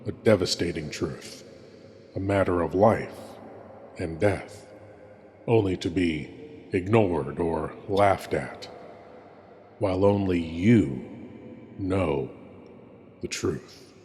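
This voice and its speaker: A man playing a suave, sinister horror host, intimate and menacing, delivering a dramatic old-time radio monologue with long pauses. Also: deep voice, darkish tone. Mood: ominous, hushed. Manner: slow, dramatic, pausing between phrases. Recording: echoey long reverb, background hiss.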